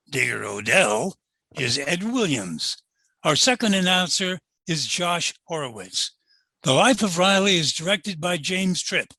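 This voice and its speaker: A man's voice: high-pitched, bright, forceful tone, no echo. A man announcing in a formal, polished 1940s network radio style, reading from a script.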